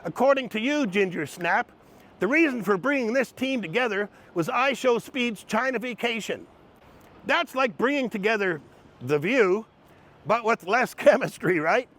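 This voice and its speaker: A man's voice: sits high, lively intonation, moderate pace, fairly bright tone. A man hosting a commentary video, mocking his subjects in a snarky, sarcastic way. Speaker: commentary host.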